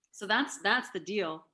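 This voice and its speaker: A woman's voice: mid-range voice, fairly bright tone. A woman in her forties chatting casually on a video call, rambling along with plenty of fillers. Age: 40s.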